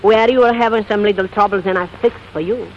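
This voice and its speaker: A woman's voice: mid-range voice, fairly even intonation, fast talker, lightly acted. Woman playing perky female secretary, broken English, forthright, 1940s comedy, muffled vintage sound. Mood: cheerful, eager. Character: perky, eager female Latin secretary and singer. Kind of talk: 1940s comedy film or radio acting. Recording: dull, noisy vintage 1940s recording.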